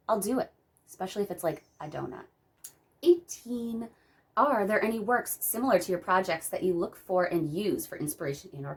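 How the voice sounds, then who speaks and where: A woman in her thirties, hoarse from a cold, reading out writing questions and answering them in casual chat. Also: lowish voice. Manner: chatty, reads out questions then answers them. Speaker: writing vlogger. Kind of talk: casual talk-through of a tag video.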